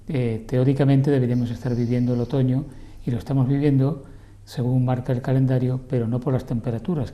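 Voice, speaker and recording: An older man hosts a TV interview show and guides the talk in a courteous, leisurely way. His voice is very dark and mellow, set at a middle pitch. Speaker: TV program host. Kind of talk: interview host chat. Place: TV studio.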